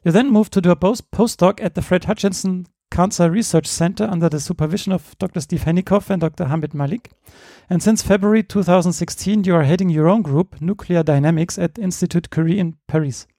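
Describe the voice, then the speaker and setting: Podcast host guy, formal intro, talks quick, mellow tone. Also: sits high, dry recording, studio quality. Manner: formal, reads a guest bio. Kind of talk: interview introduction.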